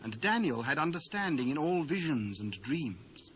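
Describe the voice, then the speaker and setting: A British man giving a formal, measured scripted narration of scripture. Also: fairly high pitch, very animated, fairly bright tone. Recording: noisy old cassette transfer.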